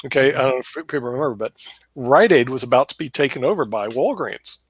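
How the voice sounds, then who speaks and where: A man's voice: mid-range voice, animated, quick pace, husky, dry recording. Man, host, video call chat, rambling, fillers and restarts, dry close sound.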